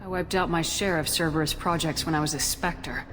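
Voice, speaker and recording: Tough, low-voiced commander. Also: female voice, fairly even intonation, fairly quick, fairly bright tone. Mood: distrustful. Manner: blunt, firm. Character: tough, guarded female military commander, blunt and decisive. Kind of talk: video game voice acting. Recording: reverberant hall under music.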